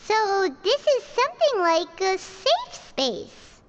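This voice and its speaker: A woman's voice: very high voice, big pitch swings, takes it slowly, dull audio, noisy background, full character voice. A woman voicing a tiny, childlike fairy companion in a video game, chirpy and curious. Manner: refers to self in third person, exclaiming. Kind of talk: animated video game character acting.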